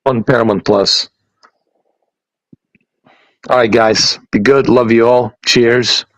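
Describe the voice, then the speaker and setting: A man's voice: mid-range voice, steady tone, takes it slowly, a bit bright, no echo, low-quality recording. A man hosting a casual stream and podcast, laughing often and swearing freely. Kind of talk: casual chat.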